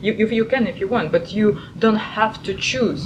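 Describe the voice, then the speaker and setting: A woman's voice: mid-range voice, fast talker. A woman hosting a podcast in a casual discussion, searching for words as she talks, with many fillers and restarts. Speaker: podcast host. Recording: muffled recording with clear background noise.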